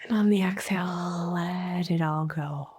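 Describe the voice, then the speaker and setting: Female meditation guide, very slow and soothing, slightly low pitch, breathy endings, soft music underneath. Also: fairly even intonation. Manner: soothing, unhurried, gently instructive with long pauses. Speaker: guided meditation host, energy healer. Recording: soft music bed behind voice. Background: faint music.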